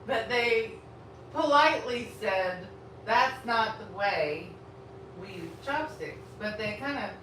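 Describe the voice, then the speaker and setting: A woman's voice: on the high side, animated, slow, a bit bright. A woman from Texas with a Texan accent, chatting frankly and rambling while she cooks and eats during a live stream from her home kitchen. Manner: rambling and frank, talks while eating and cooking. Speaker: food vlogger. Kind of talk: casual live-stream chat while cooking. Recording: roomy, distant from the mic, noisy.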